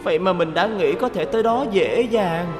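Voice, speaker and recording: A woman's voice: lowish voice, normal speed, lightly acted. A woman voicing a cheerful little blue boy bus in a cartoon dub, chatty, eager to impress and given to nervous laughs. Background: music.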